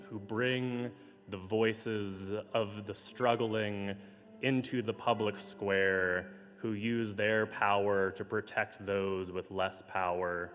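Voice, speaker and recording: A hoarse man serving as minister, reading pastoral care notes and leading a prayer in a slow, measured, gentle way, recorded for a church livestream. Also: on the low side. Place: large church sanctuary. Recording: livestream with long hall reverb.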